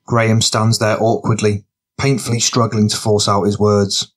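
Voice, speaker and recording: A man with a fairly low voice narrates fiction. It is scripted audiobook storytelling, read as the narrator. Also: little pitch movement, moderate pace.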